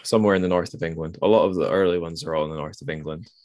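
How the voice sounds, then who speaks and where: Very deep-voiced man, musing aloud. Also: fairly even intonation, racing, darkish tone. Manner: rambling and musing, thinks aloud in circling sentences. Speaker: podcast host. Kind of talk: casual literary discussion.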